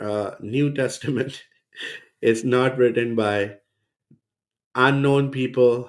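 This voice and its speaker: A man hosting a reaction video, rebutting a podcast clip in a scornful, sarcastic tone. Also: medium pitch. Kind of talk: reaction commentary.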